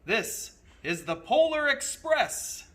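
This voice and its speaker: A man voicing a jolly, commanding train conductor from a storybook with loud, grand, drawn-out announcements. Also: very high voice, sing-song, recorded from a distance, voice pushed up, full character voice. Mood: booming, hearty.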